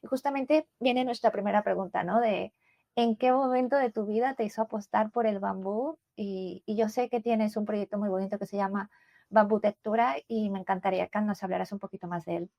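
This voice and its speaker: A woman's voice: mid-range voice, fairly even intonation, brisk, vocal fry, dark tone, dry recording. A woman hosting an interview, asking her guest questions in a friendly way. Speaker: interview host. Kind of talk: casual interview talk.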